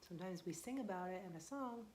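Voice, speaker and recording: Sing-song storytime woman, soft whispers. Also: on the low side, animated, quick pace, slight background noise. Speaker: children's librarian. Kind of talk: virtual storytime for young children.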